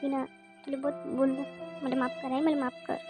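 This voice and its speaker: A woman's voice: high voice, flat delivery, brisk, over music, lightly acted. A woman voicing a hot-headed, whiny son in an amateur cartoon comedy. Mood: pleading, remorseful. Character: hot-headed drunk son, sulky then pleading.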